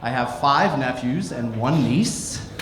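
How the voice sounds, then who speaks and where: A middle-aged American man doing live stand-up comedy, telling stories with deliberate pauses and acted-out quotes. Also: mid-range voice, expressive. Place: indoor comedy club stage. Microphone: stage microphone. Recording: roomy echoing club, some noise.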